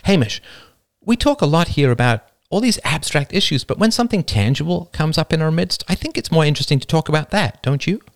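A man voicing a gentle, earnest and inquisitive young woman with a soft, concerned manner. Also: mid-range voice, sing-song, brisk, dry recording, clean studio sound, pitched above the performer's own voice. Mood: earnest, coaxing.

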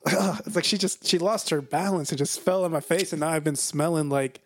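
A man's voice: fairly high pitch, fairly quick, fairly bright tone, dry recording. A man in his twenties co-hosting a podcast with casual, crude banter, rambling and hedging, swearing freely and close on the mic. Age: 20s. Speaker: podcast co-host.

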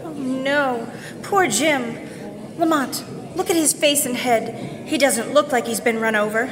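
A high-voiced, tender-hearted lady companion, acted by a woman, speaks with dismay and sympathy. The live radio play has hall reverb and a crowd behind. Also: a little slow. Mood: dismayed, sympathetic. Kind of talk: live stage radio drama acting. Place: church hall stage.

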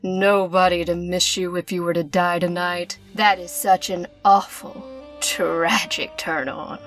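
A woman's voice: lowish voice, level tone, a little slow, fairly bright tone, faint music behind. A woman playing a wealthy, flirtatious femme fatale artist with a sinister edge and a seductive manner in a noir radio play. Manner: seductive, teasing.

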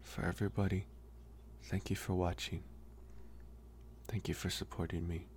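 A man's voice: low voice, level tone, normal speed, darkish tone, a little hiss or hum. A man who performs ASMR audio, giving a channel sign-off and thanking his supporters.

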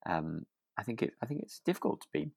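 A man co-hosting a podcast in relaxed discussion, feeling his way through sentences with halting, hesitant phrasing. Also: medium pitch, vocal fry, slightly rough, no echo, clean studio sound. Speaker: podcast co-host. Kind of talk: relaxed discussion of a radio drama.

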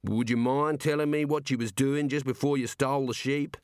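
A man's voice: mid-range voice, steady tone, brisk, clear, resonant tone, no echo, clean studio sound, pitched above the performer's own voice, natural voice. A man voicing a nosy, obliging amateur ballad writer in a comic audiobook, colloquial. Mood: eager, placating. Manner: colloquial, eager.

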